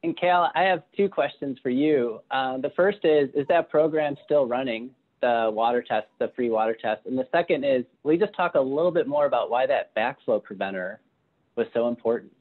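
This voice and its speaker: A man's voice: mid-range voice, moderate pace. Phone-like sound, informal male interviewer. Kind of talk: casual interview questions. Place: plain room indoors. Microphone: earbud headset on a video call. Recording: muffled phone-like call audio.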